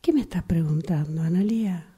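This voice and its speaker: A woman's voice: on the low side, a little fast, mellow, husky. A woman playing a defensive, evasive character in an improvised radio drama, repeating herself insistently. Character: defensive, evasive woman answering a suspicious wife.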